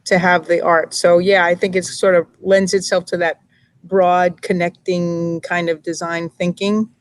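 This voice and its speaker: A woman from Brooklyn, a teacher studying for an MBA, chatting thoughtfully over a laptop video call from a library, with frequent fillers and pauses. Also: on the low side, level tone. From Brooklyn, New York. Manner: thoughtful, hesitant, many fillers. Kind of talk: casual video-call chat. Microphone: laptop mic on a video call.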